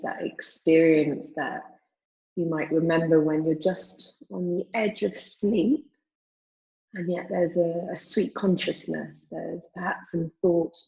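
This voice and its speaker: A woman teaching yoga nidra, guiding a meditation in a calm, soothing, measured manner with long pauses. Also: lowish voice, vocal fry, lo-fi sound. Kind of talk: guided meditation, spoken slowly with long pauses.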